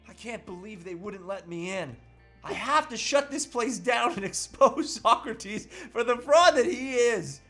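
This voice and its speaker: A man's voice: very high voice, expressive, a little slow, fairly bright tone, soft background music, full character voice. A man playing a determined, earnest and melodramatic husband on a crusade in an amateur comedy table read. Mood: scheming, determined.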